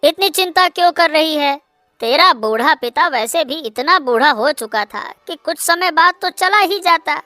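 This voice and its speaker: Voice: high voice, expressive, normal speed, sharp tone, no echo, faint music behind. A performer voicing an arrogant young male suitor in a Hindi cartoon, condescending and boastful. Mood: smug, coaxing.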